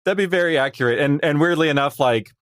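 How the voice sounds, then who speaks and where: A man's voice: fairly high pitch, quick pace, no echo, clean studio sound. A man talking as a podcast guest, explaining conversationally and voicing imagined quotes. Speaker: podcast guest, audio drama creator. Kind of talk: conversational interview.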